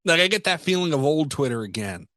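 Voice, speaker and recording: A man's voice: on the high side, sing-song, fast talker, pushed and bright, no echo. Opinionated man in dry-sounding casual chat. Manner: opinionated, slangy, profane. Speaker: podcast co-host.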